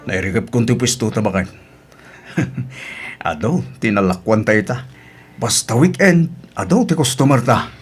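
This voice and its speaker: A man with an Ilocano accent acting a good-natured, contented older husband and market vendor in an audio drama, with a husky, homely delivery. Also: mid-range voice, animated, a little slow, pauses often, faint music behind. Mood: cheerful.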